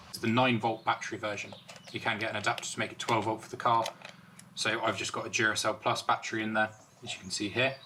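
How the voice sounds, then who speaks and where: A man's voice: mid-range voice, sharp tone. Man casually explaining gear over background noise. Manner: informal, explanatory, thinking aloud. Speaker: vlogger reviewing and installing car gear. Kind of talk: casual product review and hands-on demonstration.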